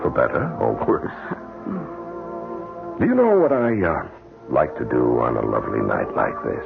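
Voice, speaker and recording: Low, creaky, haunted widower. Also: male voice, huge pitch swings, normal speed, exaggerated voice. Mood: smooth, courting. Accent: American. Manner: theatrical, hesitant, swinging between anguish and smoothness. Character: guilt-ridden, evasive widowed gentleman, haunted and scheming. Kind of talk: melodramatic radio drama acting. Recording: muffled narrow-band radio, clear music behind.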